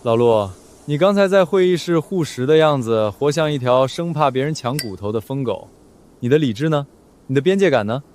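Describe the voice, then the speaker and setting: A man playing a blunt, teasing young best friend in an animated romance drama, full of pointed questions. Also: medium pitch, fairly quick, a little hiss or hum, natural voice. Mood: mocking.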